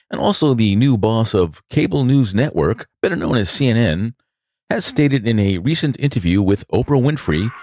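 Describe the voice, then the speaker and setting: A man's voice: on the low side, a little mellow, dry recording, studio quality. An older man with a New York accent, hosting a podcast and giving blunt, sarcastic opinion commentary. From New York City. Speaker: podcast host.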